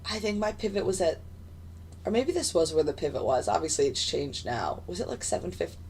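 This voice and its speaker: Raspy-voiced woman chatting through a trading stream. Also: sits low, big pitch swings, brisk, darkish tone, slight background noise. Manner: chatty, rambling, many fillers and restarts. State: raspy voice and hiccups. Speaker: futures day trader streaming live. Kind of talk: casual live-stream market commentary. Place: home desk in front of a computer.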